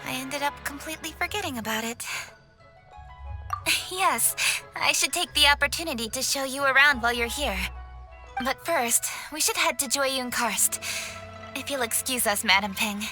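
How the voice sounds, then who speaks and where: A woman's voice: high voice, normal speed, pauses often, over music, natural voice. A woman playing a formal young official. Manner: formal, polite, composed. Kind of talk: game cutscene voice acting.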